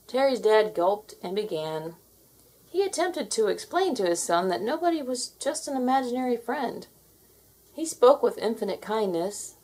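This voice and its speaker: A woman reading a children's story aloud in an expressive, scripted narrating style. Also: medium pitch, slightly rough. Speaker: storybook reader.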